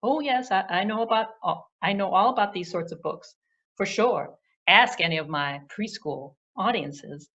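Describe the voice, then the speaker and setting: A woman's voice: mid-range voice, expressive, moderate pace, fairly bright tone, lo-fi sound. A woman storyteller and puppeteer reviewing a children's book in conversation with a puppet.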